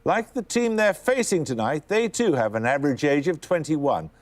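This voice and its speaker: Crisp, formal quiz host man. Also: a little high, expressive, moderate pace.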